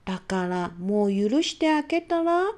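A woman voicing a gentle young mother in a children's storybook, speaking softly and slowly. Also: medium pitch, big pitch swings.

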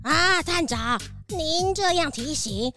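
A woman doing a bright, squeaky young maid who is forever flustered, stumbling over her words with nervous fillers. Her voice sits high and sharp in a children's story drama, with faint music behind it. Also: expressive, slow, exaggerated voice. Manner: jittery and apologetic, lots of nervous fillers. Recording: light music bed.

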